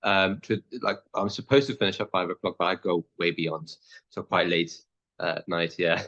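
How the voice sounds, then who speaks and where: A man's voice: deep voice, fairly even intonation, moderate pace, a bit bright, muffled recording. A man in his thirties, a lawyer guest, answering interview questions hesitantly with many fillers and restarts. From born in Pakistan, raised in the Netherlands.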